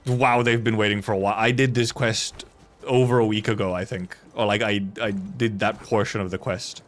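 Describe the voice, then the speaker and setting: Low-voiced man rambling over a game. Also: fairly even intonation, moderate pace, slight background noise. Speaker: game streamer. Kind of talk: casual live gameplay commentary.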